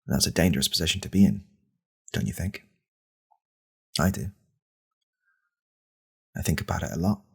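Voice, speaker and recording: A man playing an angry, pompous right-wing podcast host in a deadpan parody monologue, with a deliberate, conspiratorial manner. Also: heavily acted. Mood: brooding anger. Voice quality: very deep, gravelly, husky.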